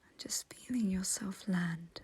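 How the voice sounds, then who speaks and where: A woman leading a guided meditation in a soft, breathy, whispery voice, gentle and soothing, with long pauses. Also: mid-range voice.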